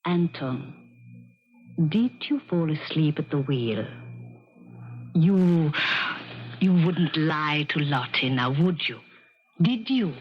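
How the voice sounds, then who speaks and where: An actress playing an aging, devoted piano coach, emphatic and coaxing, in a theatrical 1970s radio drama style. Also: female voice, deep voice, expressive, slow pace, pauses often. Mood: hesitant, concerned. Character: aging female piano coach and manager, insistent, devoted friend. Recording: dull vintage radio broadcast recording.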